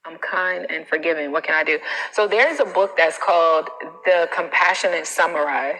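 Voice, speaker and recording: An American woman giving casual livestream coaching in a blunt, animated, colloquial way. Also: low-pitched, moderate pace, slight background noise. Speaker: self-confidence coach. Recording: reverberant, echoing room sound.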